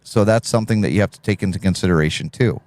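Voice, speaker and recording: A man with a deep, smooth radio voice, low in pitch, hosting a relaxed live stream. He reads viewers' chat aloud, offers advice and laughs easily. The sound is dry and close. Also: very fast, no room sound. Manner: laid-back, reads chat messages aloud, laughs often.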